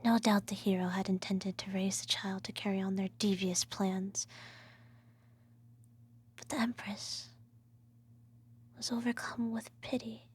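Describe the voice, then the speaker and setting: Girl doing an evil empress mom, super breathy whisper, really slow fancy storybook talk, asmr bedtime roleplay. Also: medium pitch, steady tone, a little mellow. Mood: hushed, solemn storytelling. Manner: slow, measured, formal storybook diction. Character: evil empress, doting sinister adoptive mother telling bedtime story.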